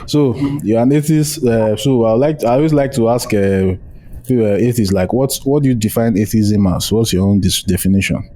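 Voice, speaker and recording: A man's voice: lowish voice, a little fast, warm tone. A young Nigerian-accented man hosting a casual audio-chat interview, playful and informal, often repeating words for emphasis. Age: young adult. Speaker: podcast host. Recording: faint noise, live online audio chat.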